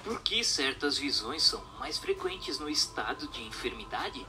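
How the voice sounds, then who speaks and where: Sharp, forceful male narrator, dramatic intonation. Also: on the high side, huge pitch swings, a little slow, faint music behind. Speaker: narrator and questioner. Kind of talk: scripted dramatized reading of a book.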